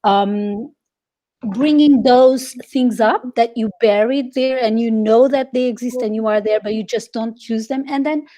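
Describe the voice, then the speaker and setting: Woman from portugal, etiquette consultant, fairly high voice, animated chat, video call. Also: unhurried.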